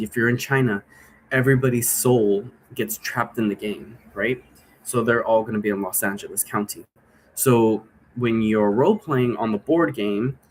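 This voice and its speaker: Male, mid-pitched voice, informal and rambling explainer of game rules, slight background noise. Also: rough recording. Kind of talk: casual rambling explanation to camera.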